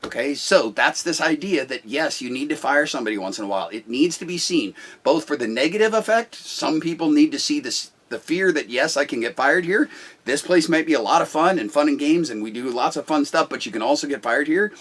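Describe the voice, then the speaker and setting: A middle-aged man with a loud voice, a business owner talking bluntly and forcefully about management in casual talk. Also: a little high, expressive, brisk.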